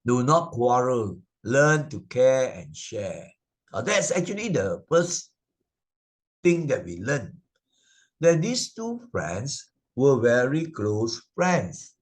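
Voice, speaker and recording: A man's voice: mid-range voice, big pitch swings, slow. A man with a Malaysian English accent, a Sunday school teacher explaining the lessons of a children's story in a chatty way, with many fillers and tag questions. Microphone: video call presentation mic.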